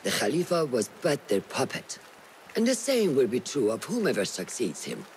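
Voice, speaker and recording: An actor playing a stern, authoritative older master assassin who instructs a pupil in a video game cutscene. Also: male voice, mid-range voice, wildly swooping pitch, normal speed, a little hiss or hum, poor audio. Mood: grave.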